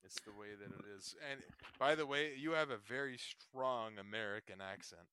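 A man's voice: on the low side, moderate pace, bright, forceful tone, clear, resonant tone. A Canadian man calling in to chat casually, joking and often repeating his phrases. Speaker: caller and game contestant.

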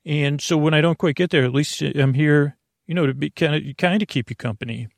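A man with a gravelly, creaky voice rambles through a bedtime podcast, circling back and interrupting himself with soft jokes. The sound is dry and close, with no room around it. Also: fairly high pitch, a little fast, dry recording. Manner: meandering, self-interrupting, gently joking. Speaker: sleep podcast host. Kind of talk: rambling casual monologue.

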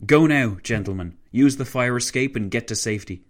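A man voicing a steady, self-sacrificing French politician, firm and measured, in a dramatised historical story. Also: mid-range voice, voice pushed up, natural voice. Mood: resolute.

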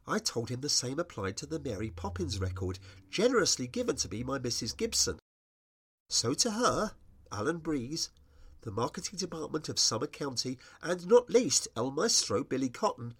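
A middle-aged British man reading his own scripted, humorous memoir in a wry, expressive storytelling manner. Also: medium pitch, slightly rough. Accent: British English. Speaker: writer reading own personal essay.

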